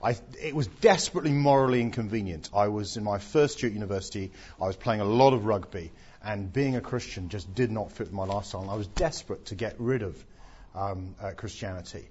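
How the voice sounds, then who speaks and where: A man's voice: on the low side, fast talker, darkish tone, slightly rough, highs cut off. A middle-aged Englishman giving his testimony in an onstage interview, with dry self-deprecating humour and plenty of ums. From England.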